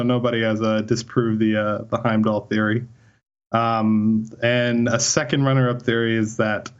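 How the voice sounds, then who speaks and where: A man's voice: medium pitch, clean tone, muffled recording. A man co-hosting a podcast in casual chat, rambling with many fillers and easy laughs. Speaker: podcast co-host.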